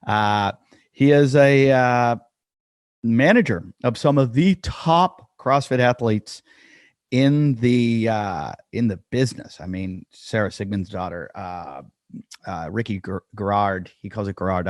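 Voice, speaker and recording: A man in a dry, close-miked room hosts a casual podcast in a lowish voice. He rambles, jokes at his own expense and leans on fillers. It sounds like an unscripted chat to his listeners. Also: a little slow, no room sound. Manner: rambling, self-deprecating, with frequent fillers. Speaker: podcast host.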